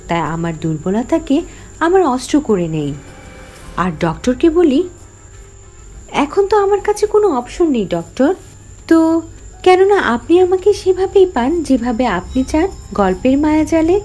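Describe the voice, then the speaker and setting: A woman's voice: high-pitched, sing-song, moderate pace, warm tone, faint music behind. A woman playing a cunning, defiant young heroine who tells her horror story in an emphatic, theatrical way. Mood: feigned submission. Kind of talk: dramatic first-person horror story narration.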